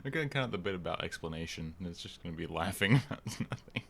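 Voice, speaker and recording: Gravelly young man cracking crude jokes. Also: deep voice, animated, fast talker, a bit bright. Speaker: podcast co-host. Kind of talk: casual chat.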